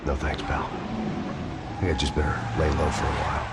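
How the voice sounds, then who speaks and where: An actor playing a weary, bitter, hard-drinking ex-cop in a video game, deadpan and sardonic. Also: male voice, extremely low pitch, moderate pace, heavy background noise. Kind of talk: noir game narration and dialogue. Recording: music playing loudly behind.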